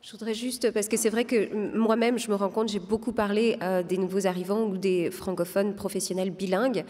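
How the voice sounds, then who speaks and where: A woman in her thirties speaks as a debate panelist at a desk microphone, persuasive and articulate, with frequent hesitations. Her voice sits at a middling pitch for a woman. It carries the long reverb of a big studio hall with an audience. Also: flat delivery, brisk, a little mellow.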